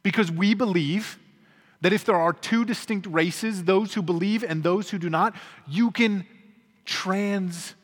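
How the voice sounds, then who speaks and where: A man preaching with earnest emphasis, his voice sitting a little high for a man. His phrases rise with force and then drop low at their ends. A long church reverb trails every line. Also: unhurried. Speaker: pastor. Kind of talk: sermon. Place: church sanctuary.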